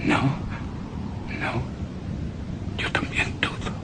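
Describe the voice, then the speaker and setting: An actor playing a haunted, anguished husband who narrates a gothic horror tale in a radio drama, with heavy dramatic pauses. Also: male voice, pushed and bright, slight background noise. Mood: fearful, bewildered. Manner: slow, brooding, dramatic delivery with heavy pauses.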